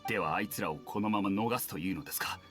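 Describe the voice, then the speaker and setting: A man's voice: fairly low pitch, racing, a bit bright, close to an everyday voice. An actor playing an agitated, loyal male soldier in an anime game. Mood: indignant protest. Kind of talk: Japanese anime game voice acting. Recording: game dialogue over background music.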